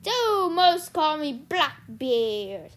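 A young female reader voicing a huge, gruff male pirate captain with growling, bellowing pirate talk. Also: high voice, big pitch swings, very slow, a bit bright, pitched above the performer's own voice, exaggerated voice. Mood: gruff, indignant.

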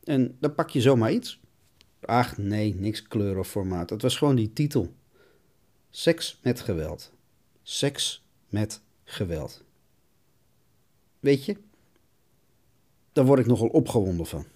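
A man's voice: fairly low pitch, lively intonation, takes it slowly, long pauses, darkish tone. A man hosting a podcast, reading scripted narration in a wry, tongue-in-cheek way. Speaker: podcast host.

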